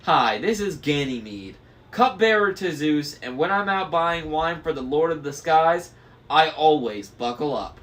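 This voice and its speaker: A man's voice: on the high side, expressive, measured pace, fairly bright tone, pitched above the performer's own voice. Chipper recorded safety announcer, a man putting him on, a bit raised, distant mic. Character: cheery young man in a pre-recorded safety ad.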